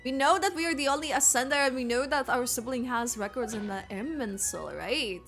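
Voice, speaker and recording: Female livestreamer, higher register, casual rambling gameplay commentary, thinks out loud, light background music. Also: fairly quick. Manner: rambling, thinking aloud, self-correcting. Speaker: game streamer.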